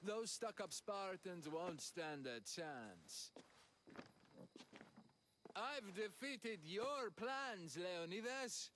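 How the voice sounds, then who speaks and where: A man's voice: high-pitched, animated, very slow, a bit bright, a little hiss or hum, heavily acted. A pompous stage king. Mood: sneering. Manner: pompous, theatrical declaiming. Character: boastful male Persian-king actor in a play.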